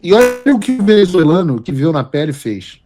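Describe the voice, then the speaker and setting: A man's voice: fairly high pitch, big pitch swings, brisk, music in the background. A man from Brazil giving emphatic, lecturing political commentary as host of his show. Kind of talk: opinion commentary.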